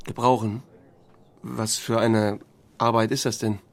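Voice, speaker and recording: Low-voiced, laconic small-town sheriff. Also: male voice, little pitch movement, a little slow, gravelly. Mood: dry, probing. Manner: dry, understated. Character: laconic small-town male sheriff telling his story. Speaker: narrator and lead actor. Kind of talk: first-person audio drama narration with dialogue.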